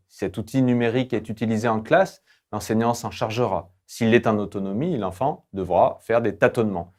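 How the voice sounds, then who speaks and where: Man narrating a lesson, measured delivery. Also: fairly low pitch, a little mellow. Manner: measured, didactic, formal phrasing. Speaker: online course lecturer. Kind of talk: scripted educational narration.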